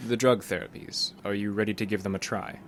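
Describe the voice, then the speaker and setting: An actor playing a calm, probing male psychologist in an audio drama, with a measured, patient, clinical way of questioning. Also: lowish voice, slight background noise, lightly acted. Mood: encouraging. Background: music.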